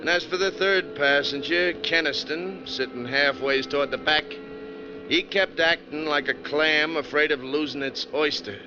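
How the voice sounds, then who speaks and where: Actor as folksy bus driver, noisy old radio. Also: male voice, on the high side, normal speed, close to an everyday voice. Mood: wry. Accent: American. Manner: colloquial, wry. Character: folksy, easygoing male bus driver narrating a crime tale. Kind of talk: 1940s radio drama acting and first-person narration. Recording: noisy, muffled 1940s radio recording.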